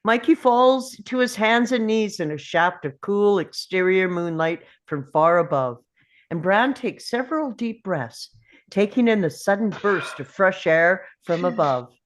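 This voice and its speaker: A woman's voice: medium pitch, expressive, unhurried. A woman reading out the stage directions as narrator at a table read of a film script.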